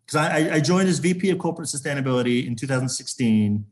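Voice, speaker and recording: A man from Zambia, a corporate sustainability executive, talking as an interview guest with a halting delivery full of restarts. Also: medium pitch, lively intonation. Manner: halting, many restarts and fillers.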